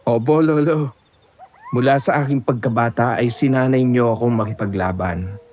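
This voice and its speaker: A man's voice: mid-range voice, darkish tone, close to an everyday voice. A man playing a brave, respectful young farmhand and fighter in an audio horror drama, polite but resolute. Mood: respectful, confident.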